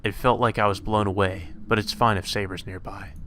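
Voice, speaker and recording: A man's voice: lowish voice, steady tone, fairly quick, fairly bright tone, a little hiss or hum. A man reading a visual novel aloud as narration, in the manner of a let's play host.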